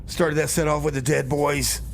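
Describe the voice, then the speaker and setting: A man's voice: fairly high pitch, flat delivery, moderate pace, gravelly, slight background noise. A man hosting a community radio music show, chatting casually between songs and comedy bits. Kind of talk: casual on-air DJ chat.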